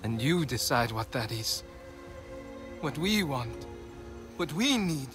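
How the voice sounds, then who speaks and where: A man's voice: medium pitch, sing-song, a bit bright, faint music behind. A man acting a bitter, wounded young alien survivor in a dramatic video game scene, with an accusing manner. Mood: cold, resentful. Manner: slow, accusing delivery.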